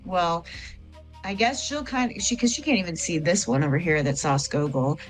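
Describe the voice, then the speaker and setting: A woman's voice: lowish voice, moderate pace, soft background music, rough recording. A woman playing a tabletop game, chatty and rambling as she describes her character's actions. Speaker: player. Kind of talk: casual tabletop play.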